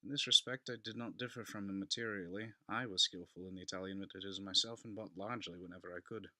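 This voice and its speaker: A man giving a theatrical, deliberate dramatic reading of a classic gothic story as narrator. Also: sits low, steady tone, sharp tone, dry recording.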